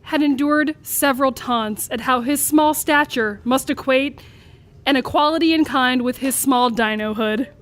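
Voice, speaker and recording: High-pitched woman reading a comic story. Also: a bit bright. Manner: amused, breaking into laughter as she reads.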